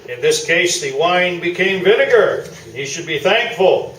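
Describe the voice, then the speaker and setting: An actor playing a smug, self-promoting veteran sports columnist, pompous and falsely modest, in a staged radio play. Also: male voice, high-pitched, lively intonation, unhurried, a bit bright. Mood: smug, mock-sorrowful. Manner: pompous, mock-sincere. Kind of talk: staged radio play interview before an audience. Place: small theatre, live staged performance. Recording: roomy distant stage recording with noise.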